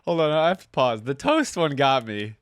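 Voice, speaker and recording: Very low-voiced woman, lively reaction commentary. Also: moderate pace, faint music behind. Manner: informal, echoes phrases back. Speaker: reaction video host.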